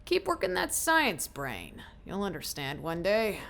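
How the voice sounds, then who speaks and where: An actress playing a brisk senior researcher in an audio drama, quizzing and slightly condescending. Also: female voice, mid-range voice, wildly swooping pitch, gravelly, fairly bright tone, exaggerated voice. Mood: brisk, probing. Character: brisk, probing woman senior researcher mentoring a junior.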